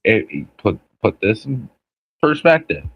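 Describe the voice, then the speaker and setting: A man's voice: lowish voice, very animated, measured pace, husky, no room sound, highs cut off. A man in his thirties on a podcast, blunt and opinionated, cursing freely. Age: 30s. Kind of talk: casual podcast chat.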